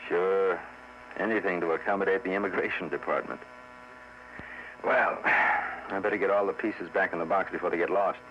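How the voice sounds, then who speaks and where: An actor playing a shrewd, brisk spaceship captain in 1950s radio drama style. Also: male voice, low voice, steady tone, natural voice. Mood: wry, musing. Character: spaceship captain, shrewd, brisk, smug board-game player. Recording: muffled 1950s radio recording with hum.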